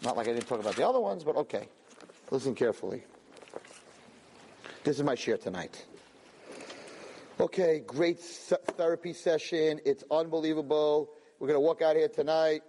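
A man giving a lively Torah lecture to a live audience, acting out pleading voices and mixing in Hebrew terms. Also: a little high, normal speed, pauses often, slight background noise. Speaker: rabbi lecturer. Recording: long reverb of a hall.

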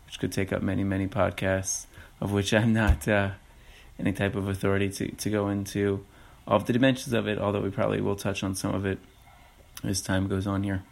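A man speaks alone into a podcast mic in a low, gravelly voice. He thinks aloud and backs up often to restart his sentences. He talks in a reflective, unhurried way. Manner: halting, many restarts and fillers. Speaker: podcast host. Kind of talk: reflective solo podcast talk.

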